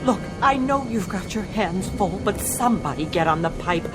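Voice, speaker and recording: An actress playing an alarmed, forceful flight attendant in a radio drama style. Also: female voice, medium pitch, animated, normal speed, close to an everyday voice. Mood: urgent. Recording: music and vehicle noise under dialogue.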